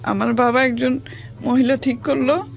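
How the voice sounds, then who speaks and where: A woman from Bangladesh with a Bangladeshi accent, telling a personal life story as an interview guest in plain, halting, colloquial speech. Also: high voice, little pitch movement, creaky, soft background music.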